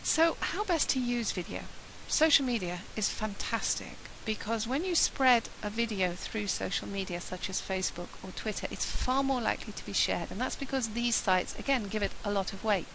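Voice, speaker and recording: A woman talking about marketing in a chatty, upbeat way, her voice husky from a flu. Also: on the high side, fairly bright tone, slight background noise, poor audio. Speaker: marketing presenter. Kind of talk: solo talk to camera.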